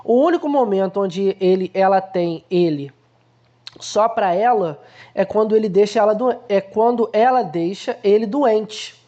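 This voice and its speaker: Mellow-voiced man talking through a film. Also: high-pitched, a little slow, dull audio. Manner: conversational, explains by acting out everyday examples. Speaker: film analysis host. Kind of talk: casual explanatory film commentary.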